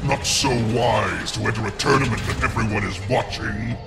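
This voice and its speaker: An actor playing a scornful, commanding villain warlord in a medieval fantasy game. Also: male voice, lowish voice, moderate pace, vocal fry, music in the background. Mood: scornful, menacing.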